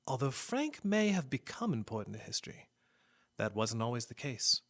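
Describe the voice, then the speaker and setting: A man's voice: mid-range voice, big pitch swings, normal speed. A man acting a grave researcher who narrates a radio drama in a theatrical, portentous way. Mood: wry storytelling. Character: grave doctor-researcher narrating a dying world's adventure tale.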